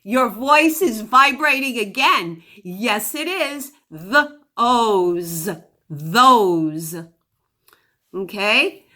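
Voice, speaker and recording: A woman teaching a phonics lesson to young children, giving deliberate instructions and sounding out words. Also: a little high, expressive, bright, forceful tone.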